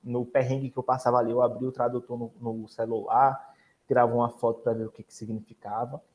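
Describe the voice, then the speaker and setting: A man's voice: mid-range voice, little pitch movement, fast talker, a little mellow. A man, an exchange program advisor explaining in casual chat and addressing viewers directly.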